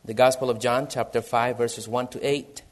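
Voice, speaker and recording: A man from El Salvador with a Salvadoran Spanish accent, a missionary pastor speaking in an earnest, measured way in a church service. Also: mid-range voice, fairly even intonation. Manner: earnest, measured, reads from prepared notes. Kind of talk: missionary church presentation and sermon.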